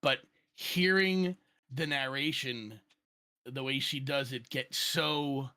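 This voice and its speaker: A man's voice: medium pitch, slow pace, sharp tone, clear, resonant tone. A man, a voice actor, casually discussing his work in a reflective, self-deprecating way. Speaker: voice actor on an audio drama.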